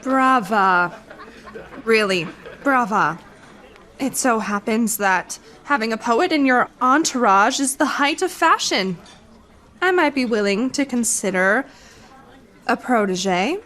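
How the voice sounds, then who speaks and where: A woman's voice: a little high, lively intonation, slow pace, long pauses, sharp tone, full character voice. A woman playing a haughty, scheming noblewoman, imperious and condescending, in a radio drama. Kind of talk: radio theatre acting.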